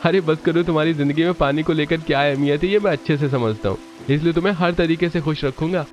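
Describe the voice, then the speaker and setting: A man's voice: on the high side, fast, faint music behind, pitched above the performer's own voice, lightly acted. A man voicing a caring, cheerful young husband in a cartoon story. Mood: affectionate, cheerful.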